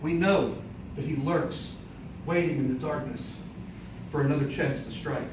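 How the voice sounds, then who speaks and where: A man with a fairly high voice tells an epic tale as a contest speech. His phrasing is theatrical, deliberate and declamatory. He is heard from a distance in an echoing room, with noticeable noise behind him. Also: fairly even intonation. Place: indoor room before an audience. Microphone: distant microphone. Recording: roomy, distant microphone, clearly noisy background.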